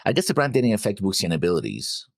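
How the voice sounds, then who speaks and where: A man's voice: deep voice, huge pitch swings, fast talker, creaky, no room sound, clean studio sound. A man co-hosting an anime reaction show, casually going over his notes on an episode.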